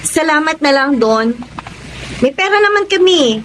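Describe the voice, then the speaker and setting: A prim young woman in a radio drama, high in pitch. She sounds polite, firm and reserved, with clear background ambience around her. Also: expressive, measured pace, background noise. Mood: cool, firm. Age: young adult. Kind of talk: Tagalog radio drama acting. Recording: clear street ambience behind voice.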